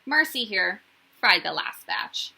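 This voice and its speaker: A woman's voice: medium pitch, very animated, slow, gravelly, bright, forceful tone, slightly rough, heavily acted. A woman reading a storybook, voicing a bossy, exasperated young tomboy girl in a blunt, emphatic way.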